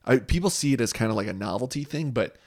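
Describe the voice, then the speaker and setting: A man's voice: mid-range voice, expressive, fast talker, a little mellow. A man in his thirties hosting a podcast, chatting casually and agreeably. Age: 30s. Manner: laid-back, agreeable, frequent "yeah". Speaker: podcast host. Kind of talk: casual chat.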